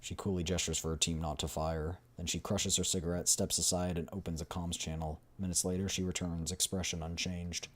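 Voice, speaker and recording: A man's voice: low-pitched, little pitch movement, a little fast, few pauses. A man narrating a game story aloud as a streamer, in a dramatic read-through style.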